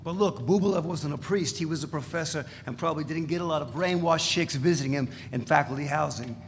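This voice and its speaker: A man voicing a sardonic, boastful former radical who spins wild tales with a gravelly edge. He sounds slightly high-pitched for a man. The reading rings out in a large reverberant hall over a faint room hum. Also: quick pace, lightly acted. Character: brash older male ex-radical storyteller. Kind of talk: dramatic reading. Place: large echoey event space.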